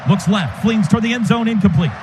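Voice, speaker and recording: High-pitched man calling football live. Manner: excited, clipped rapid-fire calls. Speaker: radio play-by-play announcer. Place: stadium radio booth. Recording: noisy stadium broadcast booth.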